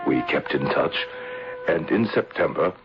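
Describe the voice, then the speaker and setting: An actor playing a courteous, concerned older English gentleman in a radio mystery drama, speaking in a measured, formal manner. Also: extremely low pitch, animated, gravelly, exaggerated voice. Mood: measured storytelling. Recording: dull lo-fi radio broadcast, faint noise.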